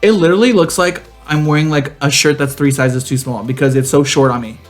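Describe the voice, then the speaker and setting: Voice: mid-range voice, fairly quick. Sassy, slangy young podcast co-host over music. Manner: animated, sassy, slangy storytelling. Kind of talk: casual comedic chat.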